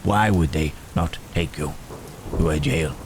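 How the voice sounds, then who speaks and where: A man voicing Frankenstein's monster as gentle, brooding and protective, speaking in halting, formal, old-fashioned phrases for an ASMR roleplay. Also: rumbling low, background noise. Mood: brooding, puzzled. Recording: rain and thunder throughout.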